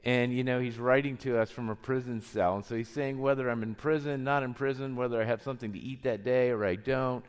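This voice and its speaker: A middle-aged man preaching a sermon, his voice deeper than usual from a cold, telling stories in a conversational way with frequent chuckles. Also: fairly even intonation, a little fast, few pauses, clear, resonant tone, in a large hall. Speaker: pastor.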